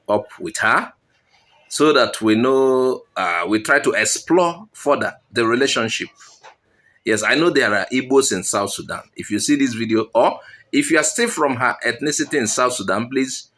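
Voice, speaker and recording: A man hosts his own channel, talking earnestly and directly to his audience. His pitch rises and falls in a lively way, and he sits in a middle register. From Nigeria. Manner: earnest, appealing directly to viewers. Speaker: channel host. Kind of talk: commentary to viewers.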